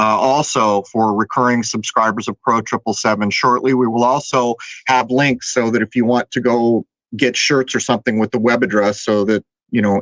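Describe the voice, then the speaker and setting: A man's voice: fairly low pitch, fairly bright tone, dry recording, poor audio. A man hosting a talk show, chatting casually and drifting between topics with fillers. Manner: conversational, digressive with fillers. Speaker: podcast host.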